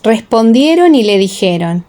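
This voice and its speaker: A woman's voice: fairly high pitch, very animated, measured pace, dark tone. A woman reading scripted audiobook narration. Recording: echoey, long reverb.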